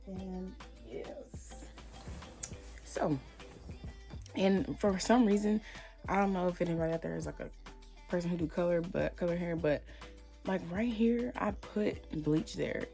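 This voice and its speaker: A woman with a slightly hoarse voice, chatting casually and rambling as she reviews hair products. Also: on the low side, warm tone. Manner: chatty, rambling, lots of fillers. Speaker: hair vlogger.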